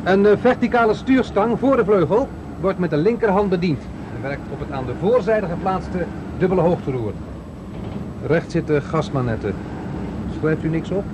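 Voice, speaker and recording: Exacting machine-builder, raised pitch, methodical explaining, radio play with noisy outdoor backdrop. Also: male voice, animated, normal speed, muffled recording. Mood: precise, explanatory. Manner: precise, technical explaining. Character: precise, proud male inventor showing his machine. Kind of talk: 1970s Dutch radio drama acting. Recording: old radio play, loud outdoor noise effects.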